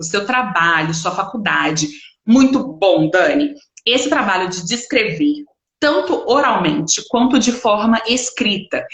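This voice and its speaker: Higher-voiced Brazilian woman teaching, echoey room. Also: fairly bright tone. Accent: Brazilian Portuguese. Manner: conversational, explanatory, frequent check-in tags. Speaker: English study teacher. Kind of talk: informal live online lesson.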